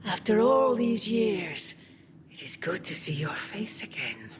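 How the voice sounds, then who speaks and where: A woman playing a sinister demonic mother in a game cutscene, possessive and tender, with breathy, theatrical delivery. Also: medium pitch, very animated, a little slow, a little hiss or hum. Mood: menacing tenderness. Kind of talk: dramatic game cutscene acting. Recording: music behind the voice.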